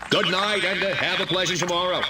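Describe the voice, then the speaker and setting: An actor playing a smug, oily small-town mayor in a video game, giving pompous, folksy speeches. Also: male voice, high voice, steady tone, fairly quick, very noisy, natural voice.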